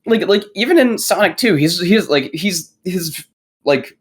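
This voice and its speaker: A young man hosting a casual film podcast, rambling in halting bursts packed with filler words. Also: high voice, lively intonation. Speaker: podcast host.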